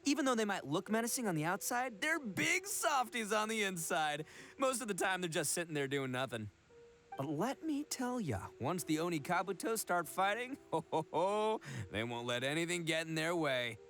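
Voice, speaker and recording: A goofy, boastful young man in an anime game. His voice sits high and leaps around with theatrical swagger. The talk is slangy and full of bragging. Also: big pitch swings, normal speed, fairly bright tone. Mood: boastful, enthusiastic. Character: brash, boastful young man, goofy self-proclaimed beetle expert.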